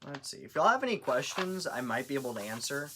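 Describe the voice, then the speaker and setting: Woman, extremely low pitch, casual live co-host, informal, wry, noticeable noise in the recording. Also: big pitch swings, fast talker. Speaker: live stream co-host reading viewer comments. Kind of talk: casual live chat with viewers. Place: craft store. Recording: clearly noisy background with clicks.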